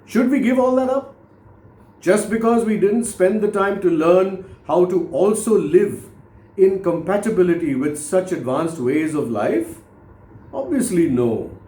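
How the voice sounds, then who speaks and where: Guy in his 50s narrating a book, kinda high soft mellow voice, speechy pauses, echoey room. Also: animated. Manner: measured, deliberate, oratorical phrasing with pauses. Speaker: author reading own book. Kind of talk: scripted audiobook narration, motivational. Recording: roomy, echoing voice recording.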